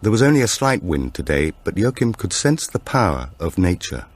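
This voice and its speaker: A man reading a thriller audiobook in a measured, dramatic storytelling manner. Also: low-pitched, sing-song, husky. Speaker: audiobook narrator. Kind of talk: scripted thriller narration.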